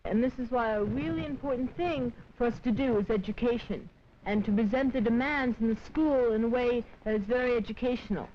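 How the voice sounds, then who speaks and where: A young American woman explains things as a student activist in a slightly high voice. The old film recording is dull, with faint noise. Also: fairly quick. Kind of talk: explanatory political talk.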